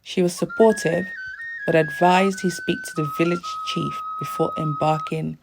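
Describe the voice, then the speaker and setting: A woman reading a scripted folktale as a storyteller, in a measured storybook delivery. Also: on the low side, wildly swooping pitch, vocal fry, loud music behind the voice, low-quality recording.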